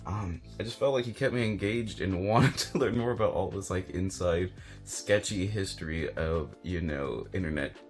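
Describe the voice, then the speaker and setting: A man's voice: sits low, normal speed, faint music behind. An American man chatting casually as a book reviewer, rambling and hedging as he thinks aloud. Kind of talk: casual chat to camera about books.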